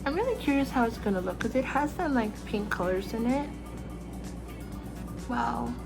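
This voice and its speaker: A woman's voice: fairly high pitch, lively intonation, normal speed, vocal fry, over music. A young woman with a Filipino accent, chatting through a makeup tutorial in her bedroom, bubbly and talking as she applies makeup. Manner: chatty, bubbly, talks while applying makeup. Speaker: makeup vlogger. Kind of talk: casual makeup tutorial narration. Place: small bedroom with fairy lights.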